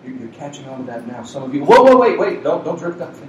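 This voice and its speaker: A man's voice: a little high, very animated, quick pace, darkish tone, very echoey, distant mic, highs cut off. A man preaching a Sunday sermon as a pastor, with a soothing voice and a conversational, joking manner. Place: community center meeting room with a cooling unit. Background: faint hum of a cooling unit.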